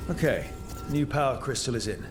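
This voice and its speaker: A man's voice: mid-range voice, a little fast, over music, lightly acted. An actor voicing an easygoing young male adventurer hero in a fantasy video game, with a casual, dryly humorous delivery. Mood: casual, wry. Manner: casual, dry humour.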